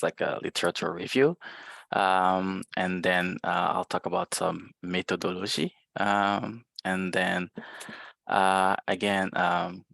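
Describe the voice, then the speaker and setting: Slow, hesitant man with a low, gravelly voice. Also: fairly bright tone, dry recording. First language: French. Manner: hesitant, many filler sounds. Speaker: guest presenter, librarian. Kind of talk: webinar presentation. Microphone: video call.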